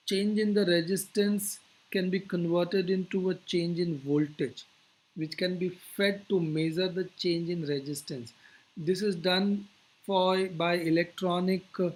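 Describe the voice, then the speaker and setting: A physics teacher delivers an explanatory lecture at a middling pitch. The phrasing is deliberate and didactic, setting out each idea in steps and posing rhetorical questions along the way. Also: rough recording.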